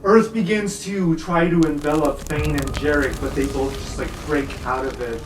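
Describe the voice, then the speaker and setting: Echoey, higher-voiced man running a tabletop game. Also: normal speed, background noise. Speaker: game master. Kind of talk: improvised tabletop game narration. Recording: roomy echo with music underneath. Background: music.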